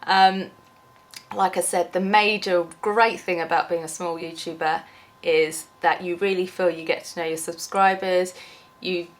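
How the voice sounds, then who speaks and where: Lowish-voiced woman vlogging with a cold. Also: steady tone, unhurried. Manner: chatty, rambling, frequent ums and restarts. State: has a cold, losing her voice. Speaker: small YouTuber. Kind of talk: unscripted rambling vlog.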